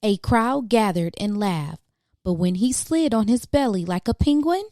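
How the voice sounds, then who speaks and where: A woman's voice: mid-range voice, expressive, measured pace, warm tone, no echo, clean studio sound. A woman reading a children's picture book aloud as a storytime host, with dramatic questions and exclamations for young listeners.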